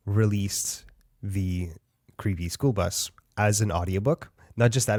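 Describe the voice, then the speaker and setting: A man's voice: deep voice, a little mellow, no room sound, clean studio sound. A man hosting an audiobook podcast, interviewing an author in an enthusiastic, chatty way. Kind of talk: casual interview.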